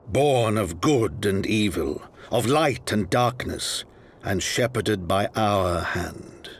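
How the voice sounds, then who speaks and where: An actor playing a wistful chronicler, narrating a game story in a grave, deliberate, poetic manner. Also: male voice, on the low side. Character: solemn, wistful male chronicler recalling a fateful past. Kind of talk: scripted dramatic game narration. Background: soft music.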